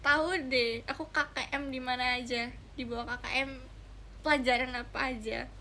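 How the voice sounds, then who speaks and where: A young woman with a high, bright voice talks casually to her livestream viewers. She is chatty and giggly, speaking straight to the audience, with some noise in the background. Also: steady tone, normal speed. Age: young adult. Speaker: idol livestreamer.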